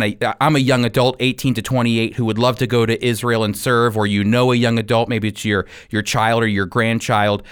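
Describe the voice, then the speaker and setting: A man's voice: medium pitch, smooth. A man hosting a radio ministry program, speaking directly and encouragingly to listeners as he gives announcements.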